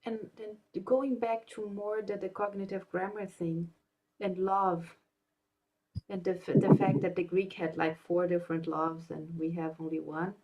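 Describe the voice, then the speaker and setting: A woman's voice: on the low side, little pitch movement, normal speed, darkish tone, dull audio, slight background noise. A woman talking thoughtfully in a live online discussion, restarting her sentences as she works out ideas. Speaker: discussion participant. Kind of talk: live podcast group discussion.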